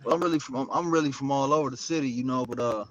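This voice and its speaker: A man's voice: mid-range voice, racing, fairly bright tone. A man from South Central Los Angeles, a rapper talking as a guest in a casual interview, laid-back and rambling with lots of slang. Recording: dull lo-fi audio with faint noise.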